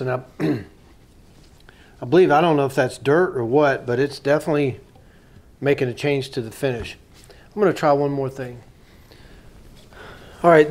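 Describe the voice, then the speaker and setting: A man's voice: a little high, a little mellow. A man giving casual, plain-spoken commentary as a hobbyist restorer, thinking aloud while he works on a hands-on repair.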